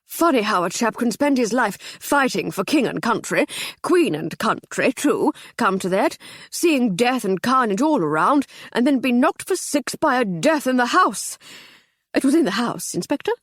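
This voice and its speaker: A man's voice: very high-pitched, very animated, normal speed, a bit bright, no room sound, clean studio sound, pitched above the performer's own voice, exaggerated voice. Woman putting on a pompous posh army captain. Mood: blustering. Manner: pompous, blustering. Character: pompous breezy upper-class male army captain.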